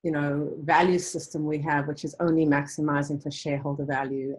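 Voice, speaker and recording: A middle-aged woman speaks on a video call from a room lined with bookshelves. Her voice is low and husky, and she works through her answers thoughtfully, with ums and restarts along the way. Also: a little mellow, rough recording. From South Africa. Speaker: guest, nonprofit founder.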